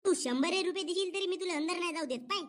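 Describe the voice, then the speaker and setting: A man's voice: extremely high pitch, fast talker, fairly bright tone. A man with a rural Maharashtrian accent voicing a cheeky, insulting young brother-in-law in a comedy cartoon, mocking and scolding.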